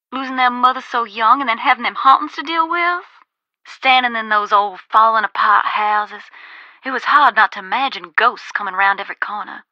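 An actress playing a folksy, wistful ghost-hunting author from a video game, with a twangy Georgia accent. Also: female voice, on the high side, sharp tone, dry recording, muffled recording. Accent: Southern US, Georgia. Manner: folksy, storytelling. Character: wistful Southern woman ghost hunter and author.

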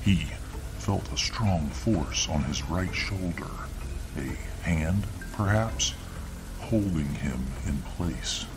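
A man narrating a scripted spooky audio story in a slow, deliberate way, with music behind him. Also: deep voice, expressive, rough recording. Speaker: ASMR storyteller. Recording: narration over music and rain sounds.

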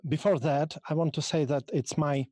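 A man from Russia with a Russian accent, speaking English as a second language and giving a halting technical conference talk over a video call, full of ums and restarts. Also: a little high, fairly even intonation, mellow, no room sound. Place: small room, video call.

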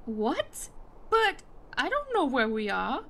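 A woman's voice: sits high, huge pitch swings, slow pace, vocal fry, a bit bright, heavily acted. A woman voicing a lost, anxious young female game character, speaking plaintively. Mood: worried.

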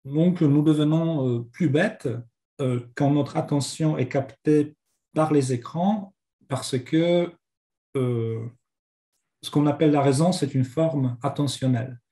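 A man's voice: on the high side, slow, mellow. A man with a Polish accent and a cold, giving a hesitant academic lecture over a video call, with many fillers. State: has a cold. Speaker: seminar speaker. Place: home office on video call.

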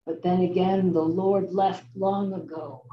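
A young woman giving a rhythmic, measured poetry reading over a video call. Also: on the low side, steady tone, slight background noise. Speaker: poet reading. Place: large room with seated audience. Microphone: video call, off to the side. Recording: distant muffled echoey room audio.

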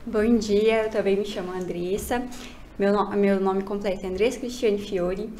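A woman's voice: medium pitch, steady tone, normal speed, dark tone, a little hiss or hum. Brazilian woman, mid twenties, cheerful, chatty. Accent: Brazilian Portuguese. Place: office studio. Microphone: desk mic on stand.

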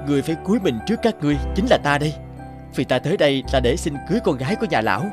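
Self-assured young royal suitor, male actor, expressive cartoon dub with music behind. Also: on the high side, racing, lightly acted. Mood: courtly, admiring. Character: proud, insistent young emperor wooing a maiden. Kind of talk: Vietnamese children's cartoon dubbing.

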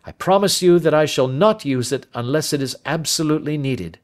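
A man voicing a composed, precise detective in an audiobook, speaking in a measured, gentle but firm way, pitched higher than his narration. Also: natural voice. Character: sharp, composed male detective, calm and precise. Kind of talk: audiobook character voice.

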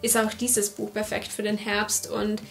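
A woman's voice: mid-range voice, monotone, a little slow, creaky, faint music behind. A young woman in her twenties, a book vlogger whose first language is not English, chatting enthusiastically to camera and stacking up repeated words for emphasis, in a room lined with bookshelves. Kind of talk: casual book talk to camera. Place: room with full bookshelves, indoors.

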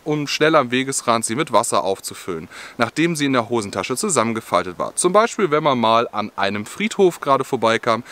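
A young man with a bright, clear-edged voice talks through camping gear in a friendly, explanatory way. He is filmed outdoors in a forest, speaking to the camera as the channel's host. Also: mid-range voice. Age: young adult. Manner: friendly, explanatory, with scripted-sounding product details. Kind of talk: gear review vlog.

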